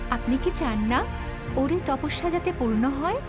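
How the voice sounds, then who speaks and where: A woman's voice: high voice, animated, normal speed. A woman voicing a caring mother goddess in a cartoon, earnestly pleading with her sister. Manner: earnest, beseeching. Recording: loud background music under voice.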